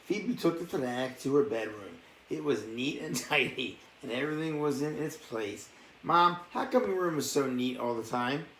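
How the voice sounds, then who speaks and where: A man's voice: medium pitch, lively intonation, moderate pace, fairly bright tone. Man reading a storybook in an echoey room. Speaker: storybook reader. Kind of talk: reading a bedtime story aloud, with casual asides. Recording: echoey room with faint background noise.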